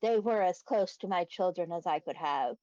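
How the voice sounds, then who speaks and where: A woman voicing a small, solemn rodent teacher who speaks in measured, formal, fatherly phrases in a tabletop game. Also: on the low side, dark tone, dry recording, muffled recording, pitched below the performer's own voice, close to an everyday voice. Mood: solemn, regretful.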